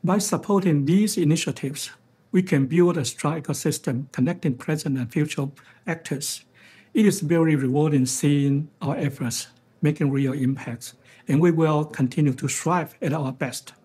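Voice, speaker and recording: A man from Taiwan with a Taiwanese accent, a financial executive delivering a prepared, formal conference keynote in measured, deliberate phrases. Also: a little high, mellow, husky.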